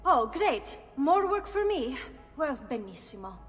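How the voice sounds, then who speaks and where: A high-pitched, exasperated young Italian woman speaks with an Italian accent. She is sarcastic and emphatic, a game character in a reverberant hall with faint music behind her. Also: very animated, measured pace. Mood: grudging, sarcastic. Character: indignant, sarcastic young Italian sister of the hero.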